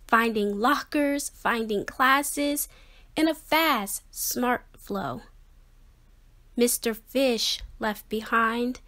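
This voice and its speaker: A woman reading a children's picture book aloud, expressively and with a measured, rhyming rhythm. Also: on the high side, a bit bright. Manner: measured, rhythmic delivery of rhyme. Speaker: children's story reader.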